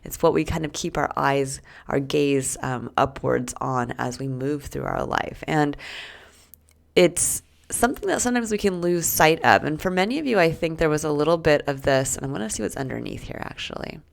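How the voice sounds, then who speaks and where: Low gravelly woman, losing her voice. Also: animated, normal speed. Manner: gentle, reflective, with fillers and soft asides. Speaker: tarot reader. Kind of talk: weekly tarot reading to camera.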